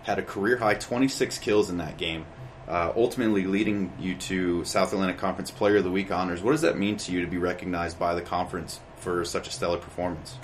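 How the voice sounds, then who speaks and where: A man's voice: low voice, fairly even intonation, fast talker, a little hiss or hum. A man hosting a college sports podcast, asking prepared questions in a friendly way. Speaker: podcast host. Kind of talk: sports interview.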